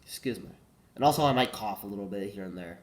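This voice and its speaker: A man's voice: lowish voice, animated, fast talker. A teenage boy with a cold, congested and coughing, rambling casually and correcting himself, saying "like" a lot. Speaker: vlogger.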